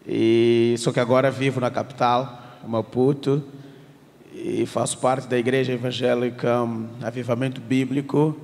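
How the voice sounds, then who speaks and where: A man from Mozambique, introducing himself in a measured, formal way. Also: mid-range voice, level tone, a little mellow. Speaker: missionary student. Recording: echoey hall sound.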